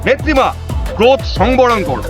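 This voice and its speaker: Prehistoric clan's peacemaker: high voice, earnest urging, radio-play delivery over loud music. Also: female voice, sing-song, brisk. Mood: pleading, calming. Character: steadying, loyal adult member of prehistoric tribe. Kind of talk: Bengali audio drama acting.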